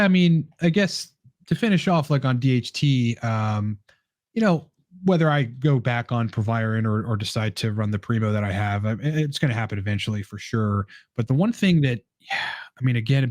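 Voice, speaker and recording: A man's voice: mid-range voice, darkish tone, dry recording, clean studio sound. Rambling, cursing man in casual chat. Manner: rambling, profane, many fillers and restarts. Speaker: podcast guest.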